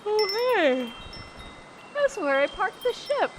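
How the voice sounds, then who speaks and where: A woman's voice: extremely high pitch, sing-song, slow pace, slight background noise, full character voice. A woman playing a scatterbrained, bubbly young pilot in a sci-fi comedy audio drama, dreamy and ditzy. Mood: breezy.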